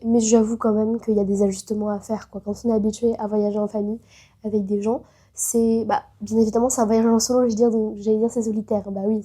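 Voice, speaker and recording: A woman's voice: a little high, dark tone, breathy edge. A woman in her late teens with a head cold, chatting casually and rambling a little as she vlogs to camera. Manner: chatty, rambling, frequent fillers and restarts. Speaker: travel vlogger. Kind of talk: casual vlog chat to camera.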